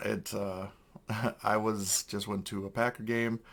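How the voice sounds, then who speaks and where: A young man talks through a toy unboxing in a hoarse, worn-out voice, clearing his throat often. His voice sits fairly low and carries a heavy gravelly fry, and he rambles with plenty of fillers. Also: fairly even intonation, slow pace, a bit bright. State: hoarse, lost voice. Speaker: toy collector channel host. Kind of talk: casual unboxing review.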